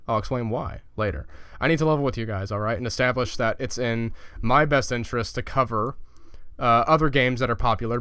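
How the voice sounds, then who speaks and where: A man's voice: mid-range voice, a bit bright, smooth, no echo, studio quality. A young man giving a casual, profane channel update as a gaming YouTuber, loose and chatty with lots of fillers.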